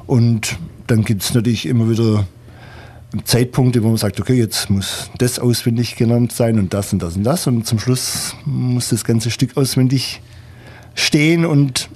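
A man's voice: mid-range voice, fairly even intonation, a little slow, darkish tone, slightly rough. A man from an amateur theatre group, a radio guest, explaining rehearsals in a plain, agreeable way.

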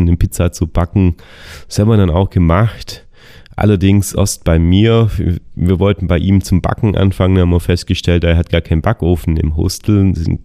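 Young guy with a deep gravelly voice rambling about his bike trip, lots of ums, dry close mic. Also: warm tone, no room sound. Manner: rambling, many fillers and restarts. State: getting over the flu. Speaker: travel vlogger on a bicycle tour. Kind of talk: casual spoken travel diary.

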